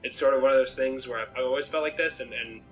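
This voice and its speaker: Young man with a flat bright voice. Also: mid-range voice, fast, soft background music, rough recording. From Maine, USA. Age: young adult. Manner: rambling, self-reflective, many restarts. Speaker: game contestant. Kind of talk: pregame interview answers. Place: small bedroom, indoors. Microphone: laptop webcam video call.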